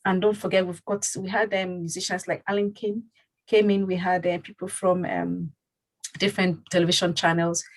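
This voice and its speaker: A woman's voice: lowish voice, little pitch movement, normal speed, poor audio. A woman hosting a community talk show, speaking casually and rambling with many fillers and restarts. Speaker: host.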